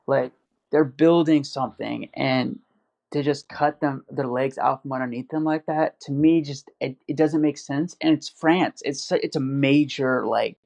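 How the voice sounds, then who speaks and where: A man's voice: mid-range voice, a little slow, creaky, a little mellow. A man in casual podcast chat, rambling with lots of fillers and restarts. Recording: dull lo-fi audio.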